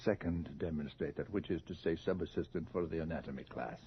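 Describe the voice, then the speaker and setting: An actor playing a middle-aged, sardonic and commanding anatomy professor in a radio drama, with a pompous, dry manner. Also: male voice, a bass voice, quick pace. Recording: dull noisy radio recording.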